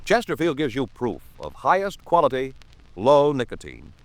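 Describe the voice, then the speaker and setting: A man's voice: mid-range voice, wildly swooping pitch, normal speed, dry recording, rough recording. An American man announcing in a polished, emphatic 1950s radio style, with formal scripted delivery. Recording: vintage 1950s radio recording, music behind.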